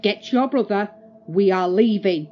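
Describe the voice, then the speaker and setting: A woman's voice: mid-range voice, animated, measured pace, dull audio, faint music behind. A woman playing a stern, distraught middle-aged mother in a horror audio drama. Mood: stern, urgent.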